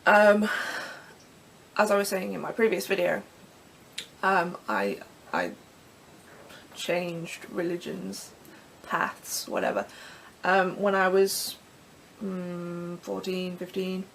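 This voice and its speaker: A young Englishwoman with a croaky throat, rambling casually through vlog questions from her bedroom with plenty of ums and restarts. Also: on the low side, takes it slowly, fairly bright tone, slight background noise, rough recording. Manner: chatty, self-deprecating, many ums and restarts. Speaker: pagan vlogger. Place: bedroom in front of her altar.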